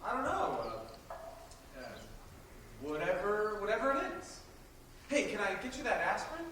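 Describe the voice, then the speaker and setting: A man acting in a stage play as an earnest, frustrated adult son, halting as he pleads with his parents. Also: high voice, sing-song, pushed and bright, far from the mic, exaggerated voice. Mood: tentative. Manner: halting, hesitant. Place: theater stage at a college, filmed from the audience. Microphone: far camera. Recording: echoey stage recorded from afar, faint noise.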